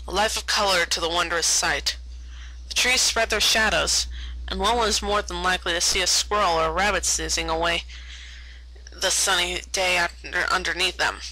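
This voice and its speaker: A woman's voice: lowish voice, sharp tone, low-quality recording. A woman reading fan fiction aloud as a storyteller, often stumbling over words and repeating them. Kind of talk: read-aloud story narration.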